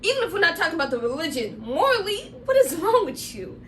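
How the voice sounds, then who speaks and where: A woman's voice: very high voice, big pitch swings, quick pace. A woman podcast co-host in casual, joking chat, a little sick with a tickle in her throat. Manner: laid-back, joking, slangy. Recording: distant mic, faint hum.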